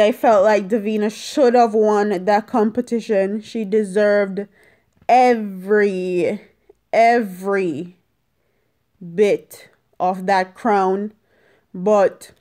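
Slow-paced young woman. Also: medium pitch, fairly even intonation, dark tone. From Jamaica. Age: young adult. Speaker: vlogger. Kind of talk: casual opinion vlog to viewers. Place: small indoor room at home. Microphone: phone at arm's length.

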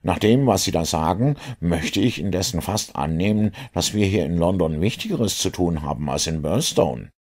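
A man's voice: low-pitched, animated, moderate pace, few pauses, darkish tone, slightly rough. A man voicing an earnest, sceptical police inspector with a questioning, insistent manner in an audiobook. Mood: puzzled, probing.

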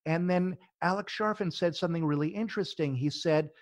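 A man's voice: a little high, brisk, dry recording. A middle-aged man, a business founder, talking eagerly as a podcast guest and often restarting his words. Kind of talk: casual interview talk.

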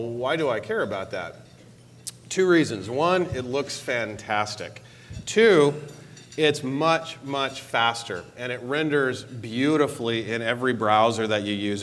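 Male executive, forties, enthusiastic keynote delivery on a lapel mic in a large hall, light room noise. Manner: upbeat, enthusiastic pitch with stressed repeated words. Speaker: keynote speaker, technology executive. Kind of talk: conference keynote speech. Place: large conference hall stage.

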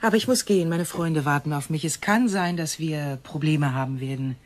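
A woman playing a grave, gentle female alien visitor in a science fiction radio play, speaking in formal, measured phrases. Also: low-pitched, close to an everyday voice. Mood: resigned, calm.

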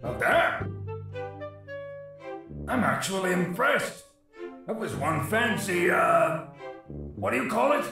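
A man's voice: high voice, wildly swooping pitch, slow pace, vocal fry, long pauses, music in the background, heavily acted. An actor playing a wry, folksy old grandfather ghost in a video game, with a ghostly echo on the voice. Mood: wry amusement. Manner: folksy, sardonic. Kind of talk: video game character acting. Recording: echoing, distant ghost effect.

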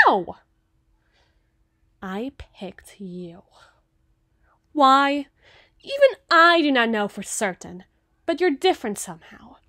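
A woman playing a cruel, possessive evil queen in an ASMR roleplay, haughty and theatrical, with deliberate menace. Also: sits high, pushed and bright, no room sound, clean studio sound, exaggerated voice. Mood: indignant, possessive.

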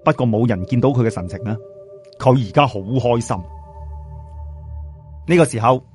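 A man with a Cantonese accent narrating a story as an audiobook storyteller, in an animated, conversational, explanatory way. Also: medium pitch, normal speed, soft background music. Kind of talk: animated scripted narration with colloquial asides.

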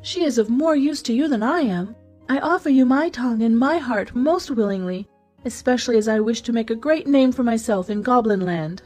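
Woman as a little storybook monkey, female, proud, loyal, pert, pitched up, faint music. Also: animated, normal speed, a little mellow. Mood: eager, proud.

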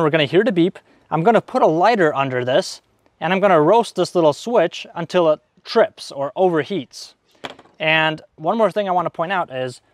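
A man with a slightly high voice walks through a practical repair demonstration. He is informal and plain-spoken, going step by step. The clip-on mic gives a close, dry sound with little room to it. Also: no room sound. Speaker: DIY repair video host. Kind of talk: hands-on demonstration and explanation. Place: outdoors in a leafy garden at a table. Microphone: clip-on wireless mic on the chest.

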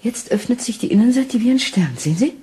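An actress playing an anxious, trusting Victorian wife in a German radio crime drama, earnest and refined. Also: female voice, fairly high pitch, animated, fast, warm tone, natural voice.

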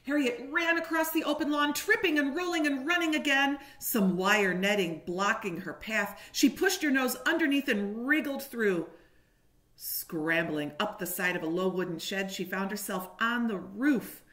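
A woman's voice: on the high side, normal speed. A woman in her forties reading a picture book aloud expressively and talking to young children. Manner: animated, explaining and questioning for young children. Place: living room, indoors. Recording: echoey room.

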